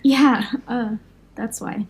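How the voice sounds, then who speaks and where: A woman's voice: fairly high pitch, steady tone, very slow, slight background noise, low-quality recording. A woman playing an anxious, caring young fire breather in an audio drama, speaking hesitantly. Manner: hesitant, soft-hearted, worried. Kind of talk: naturalistic audio drama dialogue.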